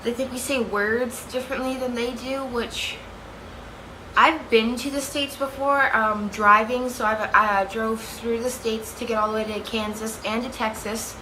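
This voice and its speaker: A woman's voice: a little high, unhurried, low-quality recording. A young woman with a Canadian accent chatting casually to her camera at home, rambling, correcting herself and giggling. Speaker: vlogger. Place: room at home with a fan running. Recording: steady fan noise behind.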